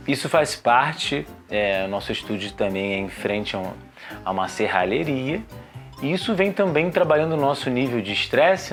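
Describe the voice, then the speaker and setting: A Brazilian man with a medium-pitched voice speaks informally as the host of an educational series. He addresses his viewers directly in a chatty, conversational way. Faint music plays behind him. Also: fairly bright tone. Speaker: psychologist hosting an educational video series. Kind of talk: informal talk to camera about emotions.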